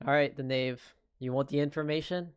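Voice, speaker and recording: A man's voice: on the high side, fairly even intonation, fairly quick, smooth. A man giving casual live commentary as a game streamer. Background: game music.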